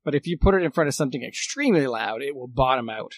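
A man giving a casual technical explanation, halting and often rephrasing as he goes. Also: mid-range voice, lively intonation, slightly rough.

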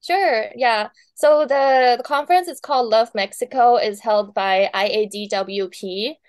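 Chatty Taiwanese-born woman, bright voice. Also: a little high, a little slow, no echo. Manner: chatty, laughs often, lots of fillers. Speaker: wedding planner guest. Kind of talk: casual interview chat.

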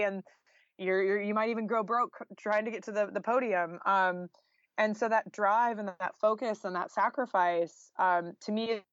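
Female podcast guest, American, fairly low register, informal and candid, dry close sound. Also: no echo, dull audio. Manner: informal and candid, peppered with "like" and "you know". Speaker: interview guest, journalist and author. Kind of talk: conversational podcast interview.

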